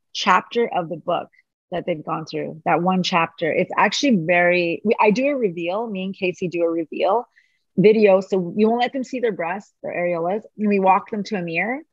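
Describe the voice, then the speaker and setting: A woman speaking quickly and with animation as a podcast guest, chatting in a remote interview. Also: mid-range voice, husky, no room sound, highs cut off. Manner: fast, animated talker. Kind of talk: casual conversation.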